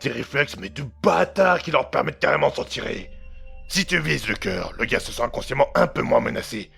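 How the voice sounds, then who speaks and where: A man playing a cynical, trigger-happy gangster in a French audio drama, crude, slangy and sardonic, with a gravelly voice. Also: mid-range voice, expressive, brisk, fairly bright tone, faint music behind, exaggerated voice. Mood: intense ranting.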